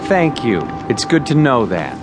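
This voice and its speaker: An actor playing a sour, cranky husband in a radio drama, dry and sarcastic. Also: male voice, medium pitch, very animated, a little slow, music in the background. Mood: irritated.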